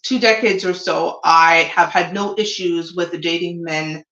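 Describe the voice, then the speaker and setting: A woman's voice: on the low side, steady tone, a little slow, a bit bright, echoey room, highs cut off. A woman in her forties, born in Jamaica and raised in Toronto, hosting a frank solo talk show with plenty of ums. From Jamaica, raised in Toronto, Canada. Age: 40s. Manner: frank, opinionated, with frequent ums. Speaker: show host. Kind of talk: solo opinion livestream talk.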